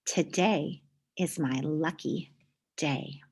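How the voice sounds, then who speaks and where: A woman's voice: sits low, extremely slow, darkish tone, slight background noise, full character voice. A woman voicing an eager, determined little male pet in a children's storybook, earnest and full of wonder. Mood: curious, hopeful.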